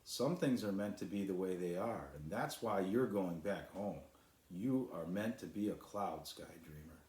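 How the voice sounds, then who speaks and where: A man's voice: low-pitched, animated, unhurried, darkish tone. Storybook role: encouraging, determined young boy adventurer read by a man, echoey room sound.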